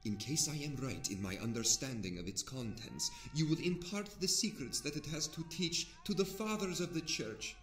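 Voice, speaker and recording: A man's voice: on the high side, expressive, normal speed, hardly any pauses, fairly bright tone. A man voicing an old male scholar in an audio drama, speaking in grand, old-fashioned lecturing tones. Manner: archaic, grandly lecturing speech. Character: old male scholar with an ancient scroll, learned and earnest. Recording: roomy reverb, music bed.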